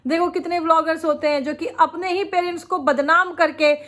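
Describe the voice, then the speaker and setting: A woman's voice: very high-pitched, steady tone, a little fast, fairly bright tone. A woman vlogger, chatting opinionatedly about other creators while she has a sore throat from a cold and laughs often. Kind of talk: casual reaction vlog commentary.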